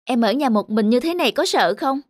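A woman's voice: on the high side, lively intonation, a little fast, no room sound, studio quality. A young woman voicing a kind, patient student tutor in a cartoon. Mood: friendly. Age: 20s. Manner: gentle, patient. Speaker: cartoon character. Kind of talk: cartoon voice acting. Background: clear background music.

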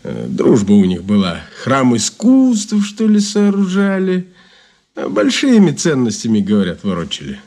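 An actor in a Soviet radio drama playing a wry, folksy informant who talks colloquially, with halting fillers. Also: male voice, mid-range voice, wildly swooping pitch, mellow. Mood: chatty recounting. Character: male ex-Makhnovist informant, folksy, wry and laconic.

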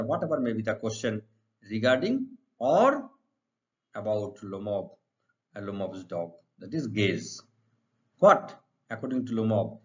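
Middle aged indian guy teacher explaining exam answers, pitch goes up and down loads, kinda muffled audio. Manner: didactic, repeats phrases. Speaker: English literature teacher. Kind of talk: exam-preparation lesson, reading questions and explaining answers. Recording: dull, muffled lo-fi sound.

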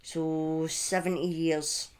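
Slow-talking middle-aged woman telling a story. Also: sits low, little pitch movement, a little mellow, clear, resonant tone. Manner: deliberate, pausing, emphatic repetition. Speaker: livestream host telling a true-crime story. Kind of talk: informal true-crime storytelling to a live chat. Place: small indoor room at home. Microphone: close desk microphone on a boom arm.

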